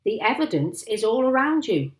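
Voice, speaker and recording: Woman as angry male landowner, haughty, bullying, blustering, loud, demanding, storybook villain. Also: lowish voice, expressive, moderate pace. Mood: outraged.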